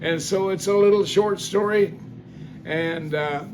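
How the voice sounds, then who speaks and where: A man's voice: high-pitched, unhurried, a little mellow, slight background noise. An older man casually telling a story from his life in a folksy, rambling way, with many fillers and restarts. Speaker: singer telling life stories. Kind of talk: casual spoken testimony.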